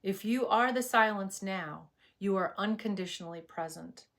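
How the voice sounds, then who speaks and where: A woman teaching meditation in a scripted spiritual talk, with a soothing, measured and reverent delivery. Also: medium pitch, creaky, fairly bright tone.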